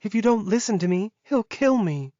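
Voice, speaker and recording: Man voicing a desperate, scheming werewolf woman, pitched high. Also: expressive, moderate pace, warm tone, dry recording, highs cut off. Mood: desperate pleading. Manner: pleading, emotional. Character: evil young werewolf woman, pleading, scheming, defiant. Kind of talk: audiobook character acting.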